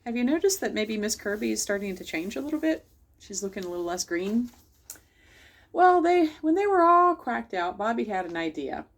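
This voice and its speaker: A woman's voice: mid-range voice, big pitch swings, moderate pace. A woman hosting a children's storytime, reading a picture book aloud and chatting directly to young listeners. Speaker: library storytime host.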